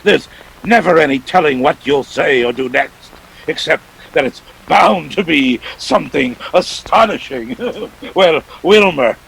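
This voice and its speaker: A jovial, calculating older crime boss of 1940s radio drama, played by a man. His voice is gravelly and slightly high, and he speaks in florid, genteel phrases with menace underneath. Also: lively intonation, measured pace, fairly bright tone, heavily acted, poor audio. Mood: amused, astonished.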